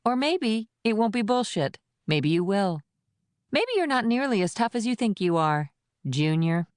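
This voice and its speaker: A man's voice: sits high, very animated, measured pace, clean tone, no room sound, clean studio sound, pitched above the performer's own voice. A man voicing a menacing, taunting female agent in an audiobook thriller. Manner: taunting, threatening. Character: cold menacing woman agent with gun. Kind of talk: audiobook narration.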